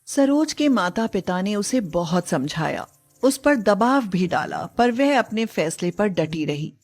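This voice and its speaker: A woman's voice: mid-range voice, sing-song, unhurried. A woman narrating a scripted moral story in a dramatic storytelling style.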